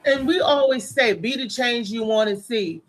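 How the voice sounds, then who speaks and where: A woman's voice: fairly high pitch, moderate pace. A woman co-hosting a live show, talking casually as part of a discussion.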